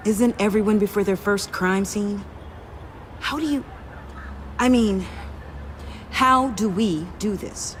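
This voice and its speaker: A woman's voice: medium pitch, takes it slowly, pauses often, slight background noise, natural voice. A woman voice-acting an eager, earnest young inventor and rookie crime fighter in a video game. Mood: earnest, nervous.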